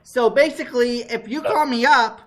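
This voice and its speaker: A man with a high voice hosting a podcast, talking in an excitable, casual way and laughing easily. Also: moderate pace. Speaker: podcast host. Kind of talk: casual chat.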